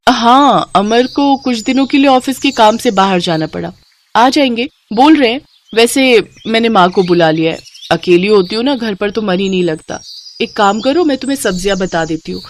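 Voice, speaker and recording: A polite, gentle young expectant mother from a cartoon moral story, voiced by a woman. Her voice stays close to the narrator's own, with an even, mid-range pitch. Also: normal speed, slight background noise, close to an everyday voice.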